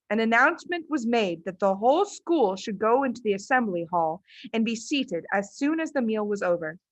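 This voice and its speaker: A woman's voice: mid-range voice, no echo. A woman reading a children's book aloud as its narrator, in scripted storytelling style.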